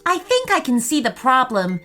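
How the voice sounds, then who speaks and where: A woman's voice: sits high, huge pitch swings, moderate pace, bright, forceful tone, soft background music, voice pushed up, heavily acted. A woman voicing a polite, helpful young boy adventurer in a bedtime story. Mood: polite, earnest. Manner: polite, earnest.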